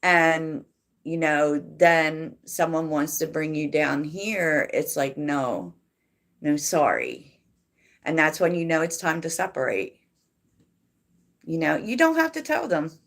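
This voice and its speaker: A woman hosting a tarot livestream, chatting softly and reflectively with viewers and laughing often. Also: low-pitched, lively intonation, takes it slowly, long pauses. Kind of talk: casual livestream chat answering viewers.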